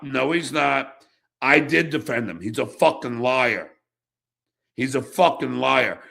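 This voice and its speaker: A man's voice: a little high, creaky, pushed and bright. A man hosting a casual talk show, rambling and ranting with lots of swearing and repetition. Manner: foul-mouthed, rambling, repeats himself. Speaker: show host.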